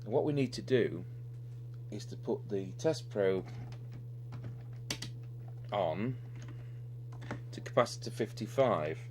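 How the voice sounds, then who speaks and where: A man's voice: lowish voice, takes it slowly, long pauses. A man giving an unscripted, methodical technical demonstration, thinking aloud as he works at the bench. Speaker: electronics repair demonstrator, presenter. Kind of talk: unscripted hands-on technical walkthrough. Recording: clearly noisy recording with electrical hum. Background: steady hum and noise.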